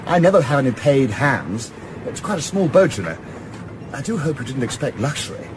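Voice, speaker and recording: A man's voice: medium pitch, sing-song, a little fast, close to an everyday voice. An actor playing an eager, apologetic young yachtsman in a radio play, hesitant and chummy. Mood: cheerful, persuasive. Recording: clearly noisy, boat ambience behind.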